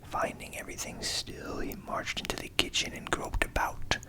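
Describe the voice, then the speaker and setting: A man whispering a fairy tale softly and soothingly as an ASMR storyteller, with a breathy delivery and measured pauses.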